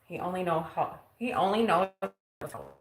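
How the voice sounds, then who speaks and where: A woman's voice: on the low side, normal speed, echoey room, low-quality recording. A woman in her forties chatting casually on a live stream at home, teasing herself and laughing often. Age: 40s. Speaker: vlogger. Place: home kitchen.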